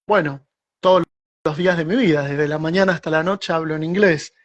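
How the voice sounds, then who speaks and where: A middle-aged Argentine man with an Argentine Spanish accent, a guest writer answering thoughtfully in a casual interview over a video call, often restarting his phrases. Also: on the high side. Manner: reflective, with frequent restarts and slow stretches.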